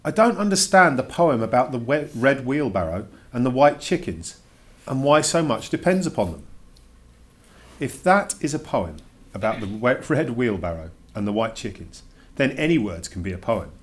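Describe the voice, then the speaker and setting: A man's voice: medium pitch, big pitch swings, normal speed, natural voice. A man voicing a reluctant schoolboy who puts emphatic, grudging questions to his teacher in a children's storybook read-aloud. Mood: frustrated, protesting. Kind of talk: dramatic read-aloud of a children's verse novel.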